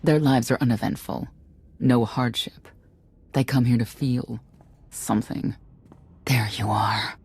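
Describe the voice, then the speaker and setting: Very husky, low, hardened woman. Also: steady tone, a little slow, long pauses, a little mellow, full character voice. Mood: matter-of-fact. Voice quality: very husky, raspy. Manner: clipped, dry, intimidating. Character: hardened, menacing female underworld guide, coolly confident. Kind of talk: video game voice acting.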